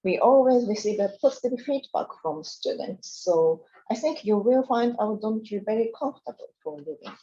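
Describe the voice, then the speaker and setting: A woman gives a scripted, formal presentation, guiding viewers slide by slide. Her voice is soft and mellow, and the recording sounds slightly dull and lo-fi. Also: fairly high pitch, animated, fairly quick, few pauses. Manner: polite, formal, reading from slides. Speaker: university staff presenter. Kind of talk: scripted presentation for a study fair.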